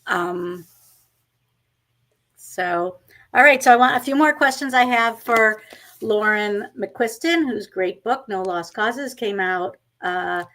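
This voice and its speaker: A woman's voice: medium pitch, slow pace, fairly bright tone. Appreciative woman interview host. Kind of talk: interview hosting, reading excerpts aloud.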